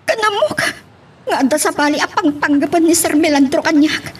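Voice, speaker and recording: A woman's voice: high-pitched, normal speed, natural voice. A woman playing a distressed, resentful young female student in a radio drama, emotional and plaintive, with a Filipino accent. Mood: hurt, pleading.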